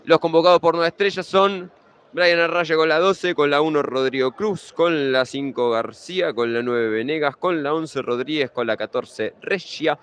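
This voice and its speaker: A man's voice: a little high, moderate pace, a bit bright, clear, resonant tone. A young man with an Argentine Spanish accent, giving pre-match futsal commentary in a conversational way, running through league standings and team lineups.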